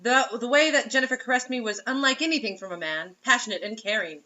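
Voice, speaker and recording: A woman's voice: fairly high pitch, fast, sharp tone, dull audio. A woman reading a story aloud as host, in a mocking dramatic way, breaking off for sarcastic casual asides.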